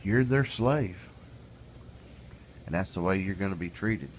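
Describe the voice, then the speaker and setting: Low-voiced middle-aged man ranting plainly. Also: unhurried, slight background noise. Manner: plainspoken, folksy, grows heated and exasperated. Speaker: vlogger. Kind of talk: casual ranting monologue.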